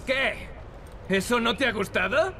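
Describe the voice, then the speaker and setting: Cocky, animated young adventurer. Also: male voice, very high voice, measured pace, slight background noise, heavily acted. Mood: teasing, wry. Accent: Castilian Spanish. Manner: cocky, wisecracking. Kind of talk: video game voice acting. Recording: game music behind the voice. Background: game music.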